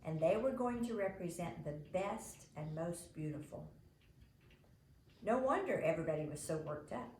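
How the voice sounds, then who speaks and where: A woman reading a children's novel aloud in an expressive, animated way, dramatizing as she narrates. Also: on the low side. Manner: animated, dramatizing the characters' lines. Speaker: storybook reader. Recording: echoey room with faint background noise.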